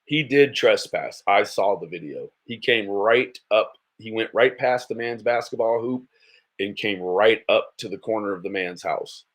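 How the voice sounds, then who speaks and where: A man's voice: fairly low pitch, measured pace, a bit bright, slight background noise. An American man hosting a casual livestream, giving blunt, mocking, sweary reaction commentary. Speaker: host of a livestream commentary show.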